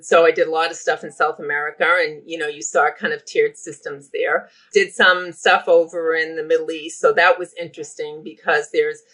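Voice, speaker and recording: A middle-aged woman with a Boston accent speaks as an interview guest, talkative and enthusiastic. Her voice has a bright, forceful edge. She talks in a lively, unrehearsed way. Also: sits low, normal speed, hardly any pauses, poor audio. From Boston area. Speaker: healthcare operations guest. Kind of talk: podcast interview.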